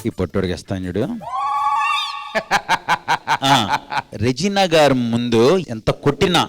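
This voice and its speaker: A man's voice: mid-range voice, very animated, a little slow, over loud music. A man who is a dance judge, tossing back playful scolding retorts in stage banter.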